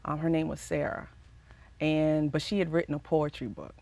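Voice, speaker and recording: A woman recording artist with a raspy voice, answering interview questions casually and reflectively, often pausing with ums. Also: sits low, steady tone, warm tone. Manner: reflective, pauses with frequent ums.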